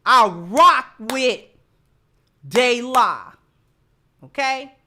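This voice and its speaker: A woman's voice: a little high, expressive, extremely slow, pushed and bright. A woman hosting a livestream with impassioned commentary, speaking emphatically and repeating phrases to drive points home. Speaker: livestream host. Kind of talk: impassioned livestream commentary to an audience, reading out chat messages.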